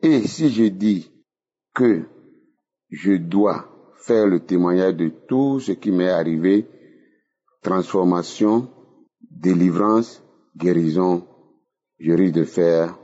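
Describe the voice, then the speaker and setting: A man in his sixties from Benin, giving a spoken testimony in a slow, emphatic way that repeats phrases. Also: deep voice, dark tone, breathy edge, dull audio. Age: 60s. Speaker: testimony caller.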